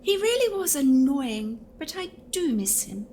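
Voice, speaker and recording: A woman's voice: high voice, big pitch swings, a little slow, darkish tone. A woman playing an anxious, fussy lady ghost in an audio drama, fretful and dramatic. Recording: faint noise behind.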